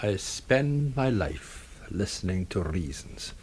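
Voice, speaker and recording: Curt distrustful cop inspector, radio drama, lowish voice, grilling someone. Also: male voice, very animated, takes it slowly, a little mellow, a little hiss or hum, exaggerated voice. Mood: suspicious. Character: stern, suspicious male police inspector, curt and accusing.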